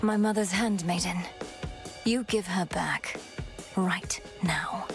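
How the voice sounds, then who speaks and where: An actress voicing a resolute young goddess heroine in a video game, terse, solemn and defiant. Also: female voice, fairly low pitch, slow, music in the background. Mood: angry, demanding. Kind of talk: dramatic video game acting.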